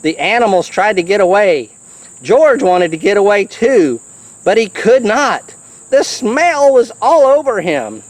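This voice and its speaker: Animated, unhurried children's storybook reader. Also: mid-range voice, dry recording, rough recording. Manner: animated, exclaiming, chatty asides to the listener. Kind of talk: children's book reading with playful asides.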